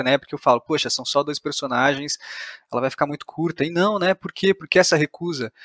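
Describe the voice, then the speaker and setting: Brazilian male podcast host, slightly high-pitched, rapid casual delivery. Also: a bit bright, dry recording, clean studio sound. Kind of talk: casual interview chat.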